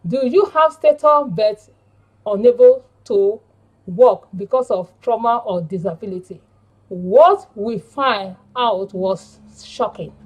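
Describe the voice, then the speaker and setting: A woman reading a prepared campaign speech aloud in an earnest, deliberate way, with halting phrasing. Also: fairly high pitch, low-quality recording. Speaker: panel speaker and member of a women's campaign group.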